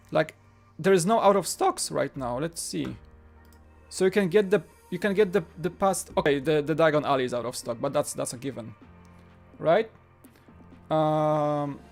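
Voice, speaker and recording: A man in his thirties, a native Polish speaker, hosting a casual livestream in a rambling way, often repeating phrases. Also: on the high side. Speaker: livestream host. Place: small home studio room. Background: background music.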